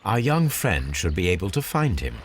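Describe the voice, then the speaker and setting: A very deep-voiced, self-assured Victorian detective in a game cutscene. He speaks in measured, formal, probing phrases, over audible background noise. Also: very animated. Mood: composed. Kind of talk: video game cutscene acting.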